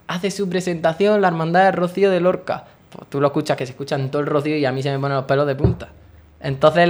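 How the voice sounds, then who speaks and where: A young man in his late teens, earnestly and devoutly describing his faith in a radio interview. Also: a little high. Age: young adult. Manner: earnest, devout, talks at length. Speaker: guest, brotherhood member.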